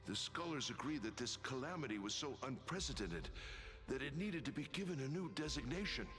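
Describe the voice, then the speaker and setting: Actor as a grave soldier, swinging pitch. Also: male voice, expressive, natural voice. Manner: dramatic, halting, grave. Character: earnest, war-weary male soldier of a doomed city. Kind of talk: video game voice acting. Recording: game music under the voice.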